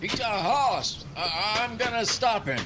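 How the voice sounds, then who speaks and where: A man playing a folksy, plucky cowboy sidekick in a western radio drama, with drawn-out frontier talk. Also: sits high, animated, heavy background noise. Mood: determined.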